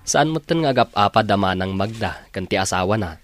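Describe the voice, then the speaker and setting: A man's voice: lowish voice, animated, brisk, natural voice. A man in a radio drama, playing the husband and reading the closing announcement in a plain, blunt manner. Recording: music bed under the voice.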